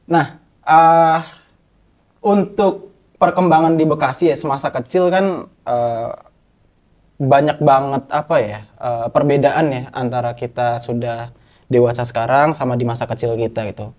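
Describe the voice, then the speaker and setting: A man's voice: medium pitch, fairly even intonation, unhurried, darkish tone. Young guy hosting a chill podcast, jokey and slangy, medium voice. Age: young adult. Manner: playful, joking, slangy. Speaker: podcast host. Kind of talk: casual chat.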